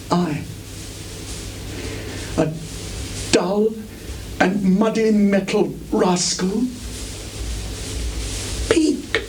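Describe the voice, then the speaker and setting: An anguished, self-loathing prince hungry for revenge in live Shakespearean theatre. The voice is fairly high for a male and ranges dramatically, with slow, declamatory phrasing. It comes from a 1980s stage recording with clear background noise. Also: rough recording. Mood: dejected. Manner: declamatory, drawn-out, swinging from hushed to shouting. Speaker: stage actor.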